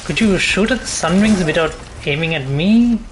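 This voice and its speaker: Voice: medium pitch, animated, normal speed, noisy background. A gamer giving casual, informal play-by-play commentary, thinking aloud and swearing mildly now and then. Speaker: gameplay streamer.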